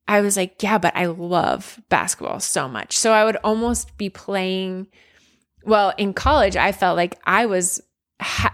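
A woman from near Philadelphia talks candidly in an interview, reflecting on her past with plenty of fillers and self-corrections. Her voice sits at an ordinary pitch with a noticeable gravelly fry. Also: fairly bright tone. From United States, near Philadelphia. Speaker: guest, former college basketball player.

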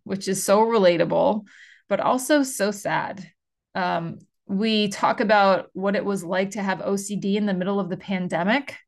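A middle-aged woman hosting a podcast interview, chatty and upbeat with frequent fillers. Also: mid-range voice. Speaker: podcast host.

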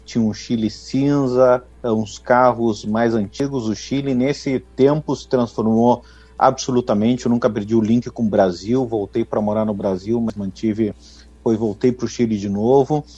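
A middle-aged man from Brazil, a winemaker guest in a radio interview, explaining in a measured way with frequent tag questions. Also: medium pitch, steady tone, mellow, husky, highs cut off.

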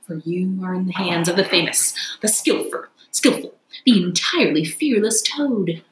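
A woman's voice: lowish voice, animated, moderate pace, noisy background, lightly acted. Lady doing a vain reckless toad for a storybook, very theatrical, echoey room. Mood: reckless boasting. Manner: theatrical, self-admiring. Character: boastful, reckless toad posing as a meek washerwoman.

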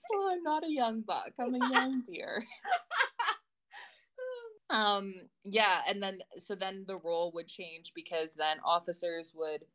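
A woman's voice: medium pitch, lively intonation, slow, background noise. A woman co-hosting a podcast in casual chat, telling personal stories in a candid, informal way with some swearing. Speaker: podcast co-host.

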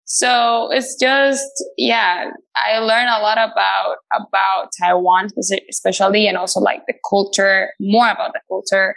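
Woman guest from Latin America, gravelly chatty delivery full of fillers. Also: mid-range voice, steady tone, measured pace, hardly any pauses, fairly bright tone, rough recording. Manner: chatty, many fillers and restarts, laughs often. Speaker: radio show host, guest. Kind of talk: casual interview answers.

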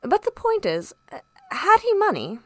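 A woman voicing a composed, genial gentleman doctor in a storybook, courteous, stately and shrewd. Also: very high-pitched, wildly swooping pitch, moderate pace, no echo, voice pushed up, heavily acted. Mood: amused, curious.

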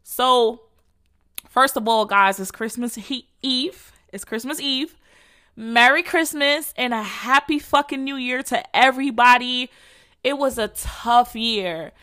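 Young Jamaican woman, solo podcast host, bright and forward voice, casual profane slang. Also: on the high side, slow. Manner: candid, profane, slangy and rambling. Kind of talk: casual solo chat to followers.

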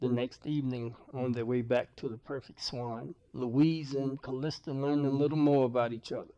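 A man's voice: mid-range voice, steady tone, moderate pace, mellow, highs cut off. A man reading scripted narration for an audio drama, as its narrator.

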